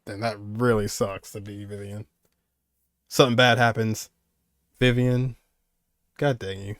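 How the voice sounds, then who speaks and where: A man's voice: on the low side, level tone, unhurried, fairly bright tone, no echo, clean studio sound. A man giving casual reaction commentary on game cutscenes, slangy and full of exclamations.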